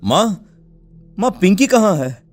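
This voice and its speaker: A man's voice: high-pitched, very animated, slow, faint music behind, exaggerated voice. A man playing an anxious, devoted village father in a cartoon story, speaking in a plaintive, melodramatic way. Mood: panicked. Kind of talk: Hindi cartoon story acting.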